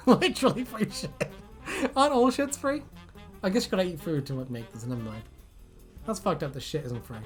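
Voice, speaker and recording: A woman with a fairly low, very animated voice banters as a co-host. She is giggly, sweary and jokey, keeping things casual, with faint music playing behind her. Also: moderate pace, long pauses. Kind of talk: casual banter, reacting to fan comments.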